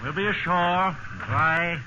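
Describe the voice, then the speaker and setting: An actor playing a steady, fatherly sea captain in a 1950s radio drama, calm and commanding. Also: on the high side, takes it slowly. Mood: steady, encouraging. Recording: muffled, very noisy old radio recording.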